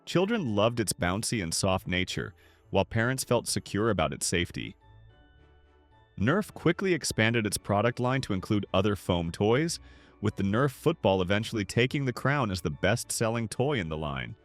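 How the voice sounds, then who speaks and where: Low-voiced man narrating with lively intonation. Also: normal speed, soft background music. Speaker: narrator of a nostalgia video. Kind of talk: scripted narration.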